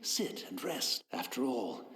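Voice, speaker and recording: Humble tea master, echoing widely. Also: male voice, mid-range voice, very animated, slow, fairly bright tone. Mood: humble, welcoming. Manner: deferential, courtly, wistful. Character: humble, serene blind male tea master, resigned retainer. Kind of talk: video game character acting. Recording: echoey, long reverb.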